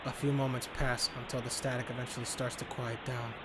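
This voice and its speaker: A man's voice: mid-range voice, level tone, a little fast, very noisy. A man reading a horror visual novel aloud as a let's player, dramatic in narration and casual in his reactions.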